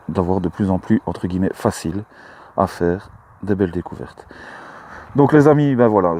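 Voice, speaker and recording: Chatty Belgian man, low mellow voice. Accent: Belgian French. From Belgium, Luxembourg province. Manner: friendly and chatty, many hesitations. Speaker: metal-detecting vlogger. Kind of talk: casual outdoor talk to camera. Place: outdoors in a mown meadow.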